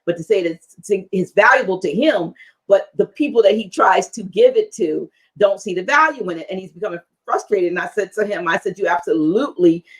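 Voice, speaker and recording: A woman hosting an interview talk show, speaking haltingly and repeating words as she builds her point. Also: mid-range voice, slightly rough, low-quality recording.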